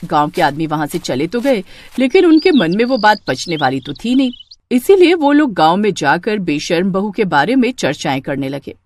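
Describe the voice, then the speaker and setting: A woman's voice: lowish voice, big pitch swings, normal speed, darkish tone, slight background noise. A woman narrating a scripted moral tale as a storyteller.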